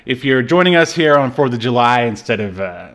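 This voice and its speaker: A man hosting a podcast in casual, joking banter, with a halting delivery. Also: mid-range voice, lively intonation, fairly bright tone. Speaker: podcast host.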